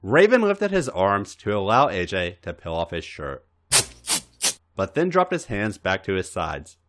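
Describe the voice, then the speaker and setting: A man reads a story aloud for a podcast. His voice sits fairly low, but his intonation swings very widely, giving the narration a highly dramatized feel. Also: huge pitch swings, measured pace, noisy background. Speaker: podcast host reading fan fiction.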